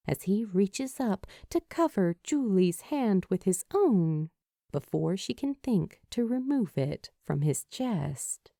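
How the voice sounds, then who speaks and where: A woman giving expressive, dramatic scripted narration as an audiobook reader of fan fiction. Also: mid-range voice, no echo, clean studio sound.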